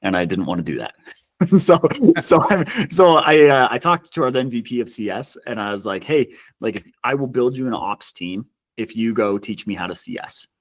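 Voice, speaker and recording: A man speaking casually as an interview guest, telling stories in an animated, self-deprecating way. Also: fairly low pitch, a little fast. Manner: self-deprecating, chuckles as he talks. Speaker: podcast guest, customer success executive.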